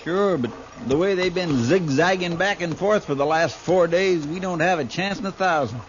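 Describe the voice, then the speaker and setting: An American actor playing a folksy, earnest, deferential deputy sidekick in a 1950s radio western. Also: male voice, a little high, normal speed, lightly acted. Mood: doubtful, attentive. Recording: muffled, noisy 1950s radio recording.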